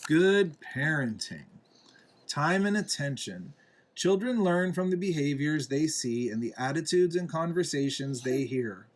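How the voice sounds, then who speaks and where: A man reading a children's picture book aloud in a deliberate way, pausing between phrases. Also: fairly high pitch, expressive. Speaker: reader, parent reading to a young child.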